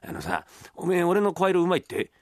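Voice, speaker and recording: A man performing rakugo as a spoiled, scheming young merchant's son who grumbles and wheedles in a rough Tokyo accent. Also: high voice, wildly swooping pitch, brisk, dry recording, clean studio sound, exaggerated voice. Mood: wheedling, scheming. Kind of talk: rakugo storytelling.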